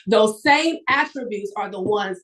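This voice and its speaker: A woman talks as a motivational guest on a live stream. Her delivery is emphatic and animated, projecting clearly like a storyteller, at a middling pitch. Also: a little slow. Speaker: guest author and entrepreneur.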